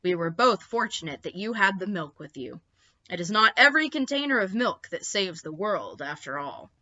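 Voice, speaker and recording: A woman's voice: mid-range voice, expressive, normal speed, sharp tone, no room sound, highs cut off. A woman voicing a wise, gracious female dinosaur professor in a formal, declamatory storybook manner.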